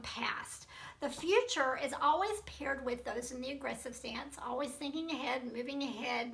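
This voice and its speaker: A woman coach teaching leadership in an earnest, steady way, her voice gravelly from allergies and heavy with fry. Her tone is bright and forward, and she walks through her points in lists with the odd um. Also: high-pitched. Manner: earnest, repeats points in lists, many ums. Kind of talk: recorded teaching talk.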